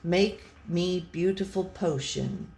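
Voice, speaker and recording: Slow, deadpan storybook pet read by a woman. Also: lowish voice, a little hiss or hum. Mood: dry, tolerant. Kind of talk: scripted storybook narration.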